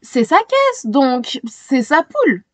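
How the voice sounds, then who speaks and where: An earnest little girl from a storybook, acted out by a woman reader. The voice is high and swings widely, emphatic and pleading, in a dry recording. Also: huge pitch swings, takes it slowly, no echo, heavily acted. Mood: excited, insistent. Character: excited, earnest little girl protecting a hen.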